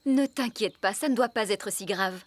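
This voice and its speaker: A woman voicing a brisk, dryly polite nanny of a royal household in a cartoon dub, composed and matter-of-fact. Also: medium pitch, no echo, lightly acted. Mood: calm, questioning.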